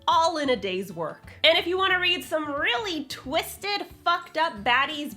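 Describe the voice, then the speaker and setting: High, animated woman presenting a vlog. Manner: emphatic, theatrical, sassy, casual swearing. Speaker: host, writing advice YouTuber and author. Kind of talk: upbeat scripted vlog tutorial to camera.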